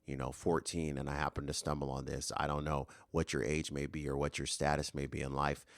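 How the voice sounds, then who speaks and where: A man's voice: extremely low pitch, level tone, a little fast, dry recording, studio quality. A man in his thirties hosting a solo motivational podcast, earnest and rambling with fillers and restarts. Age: 30s. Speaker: podcast host.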